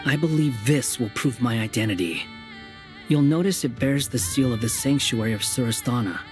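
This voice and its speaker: A man's voice: medium pitch, lively intonation, normal speed, faint music behind, natural voice. A man playing an aloof, sardonic young wanderer in a video game, speaking in a cool, dry, curt way.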